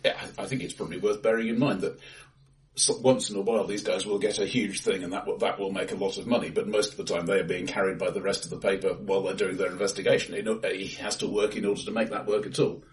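A man with a fairly low voice speaks quickly as he discusses film with a co-host. His delivery is wry and analytical. He sounds some distance from the microphone in a bright, reflective room. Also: steady tone. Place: acoustically bright room indoors.

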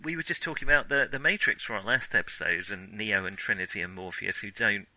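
A man's voice: lowish voice, fast talker, sharp tone, dry recording, poor audio. A man co-hosting a film podcast, chatting casually with wry, enthusiastic humour. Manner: enthusiastic, chuckling, wryly joking.

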